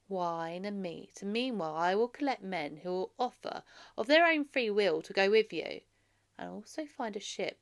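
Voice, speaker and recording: A woman's voice: medium pitch, creaky. A woman voicing a goddess disguised as an older man, commanding and reassuring, in a storybook reading.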